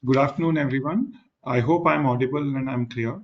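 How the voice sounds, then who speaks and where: A man with an Indian English accent, a professor moderating a webinar, a little croaky, polite and discursive with many fillers. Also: medium pitch, a little fast, a little hiss or hum. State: slightly croaky after long teaching. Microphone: video call. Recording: dull lo-fi video call audio.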